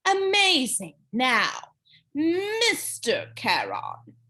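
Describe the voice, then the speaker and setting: A woman voicing a smug, greedy male ferryman of the dead with a vaguely British, foreign-tinged accent, speaking slowly in a sweet, cold way. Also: on the high side, big pitch swings, fairly bright tone, voice pushed up. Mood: silky, mocking. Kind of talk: audiobook character reading.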